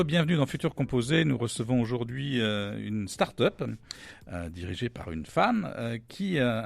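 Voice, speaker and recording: Gravelly man hosting a radio interview. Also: mid-range voice, a little mellow.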